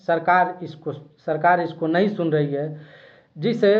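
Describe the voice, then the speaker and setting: A man's voice: high voice, muffled recording. A man delivering explanatory news commentary, arguing a case with rhetorical questions.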